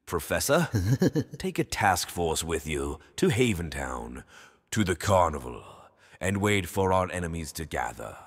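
An actor playing a smug, ruthless world dictator in an audio drama, mock-polite and theatrical. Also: male voice, deep voice, big pitch swings, a little slow, slightly rough, exaggerated voice. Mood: smug, sinister. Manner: mock-polite, theatrical, switching from exasperated to coldly quiet. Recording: long reverberant hall.

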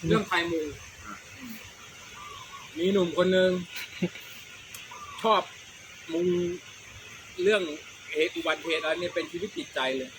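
A man's voice: high-pitched, a little slow, pauses often, noisy background. A middle-aged man, telling comic stories casually as a show host, chatty, repeating words and using crude slang. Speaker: host of a comedy storytelling show.

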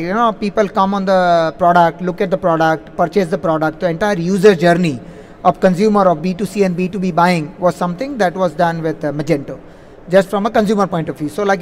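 A man answering questions as a conference speaker on stage, talking wordily and padding sentences with a habitual "like, you know". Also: high voice. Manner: wordy, repeats "like, you know" as a verbal habit. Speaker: conference speaker, software implementation consultant. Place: on stage in a large conference hall. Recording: faint background noise, live event.

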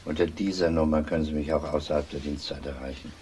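An actor playing a methodical, matter-of-fact police inspector in a German crime radio drama. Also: male voice, rumbling low, steady tone, a little mellow, slight background noise. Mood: calm, businesslike. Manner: measured, matter-of-fact questioning.

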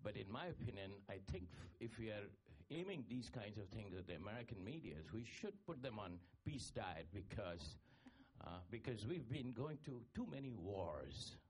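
A man from India, speaking up from the audience at a panel to ask a question, halting with many fillers and restarts. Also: fairly low pitch, very animated, breathy edge. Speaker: audience member asking a question. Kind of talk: spontaneous question from the floor at a panel. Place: conference hall audience.

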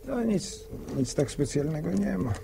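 Shy country fellow, soft dark tone, hesitant rural phrasing, modest, vintage radio drama, faint noise. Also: male voice, fairly high pitch, sing-song, measured pace, creaky, exaggerated voice. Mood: thoughtful, warm. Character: modest, dutiful village young man, hesitant and kindly. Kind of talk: 1960s radio drama acting. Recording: noisy old 1960 radio recording.

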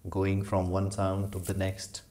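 A man leading a guided meditation as a yoga instructor, giving slow, measured instructions with long pauses. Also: deep voice, completely flat delivery, darkish tone.

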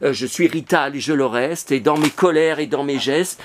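Man, collector vlogger, slightly high voice, rambling and full of hesitations, filmed on a handheld phone at home. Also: moderate pace, a little hiss or hum. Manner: chatty, digressive, many hesitations and restarts. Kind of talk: rambling casual monologue to camera. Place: cluttered apartment, indoors.

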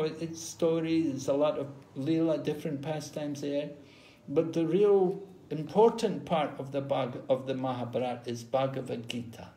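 An older man giving an unhurried online scripture class over a video call from a room at home, explaining with pauses and restarts. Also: a little high, dark tone. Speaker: spiritual teacher. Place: room at home with ceiling fan. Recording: echoey room.

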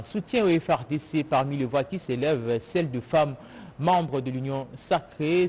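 A man with a Congolese accent anchors a TV news bulletin. His pitch sits in the middle, and there is clear noise behind his voice. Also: darkish tone. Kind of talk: news presenting. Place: TV studio.

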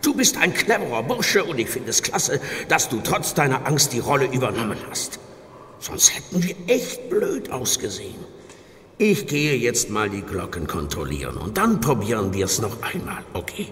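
An actor playing a kindly parish priest in a horror radio play. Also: male voice, mid-range voice, huge pitch swings, normal speed, fairly bright tone, long reverb tail. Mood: kindly, upbeat. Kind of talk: horror audio drama acting.

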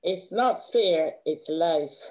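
A woman's voice: fairly low pitch, expressive, takes it slowly. An older woman with a Caribbean accent reading a stern, matter-of-fact, bookish elder sister in a play, over a video call. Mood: stern, dry. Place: indoor room at home, on video call. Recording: dull video call audio.

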